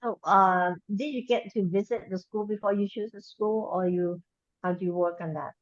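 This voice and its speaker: Halting woman hosting a webinar. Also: mid-range voice, fairly even intonation, dry recording. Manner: halting, repeats words. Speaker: webinar host. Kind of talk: webinar moderation. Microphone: video call. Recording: muffled video call audio.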